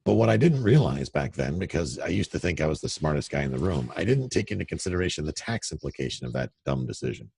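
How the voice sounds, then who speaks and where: A middle-aged man with a deep radio voice, a real estate investor telling candid, self-deprecating stories in a casual interview over a call. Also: very animated, fast, vocal fry, hardly any pauses, a little mellow, husky. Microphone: remote call audio.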